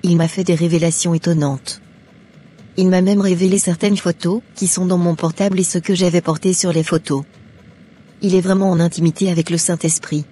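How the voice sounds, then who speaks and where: A woman's voice: fairly low pitch, fairly even intonation, brisk, dark tone, husky. A woman voicing a devout, admiring churchgoer in a cartoon, speaking earnestly. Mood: impressed. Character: devout, impressed female church member. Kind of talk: dramatic animated dialogue.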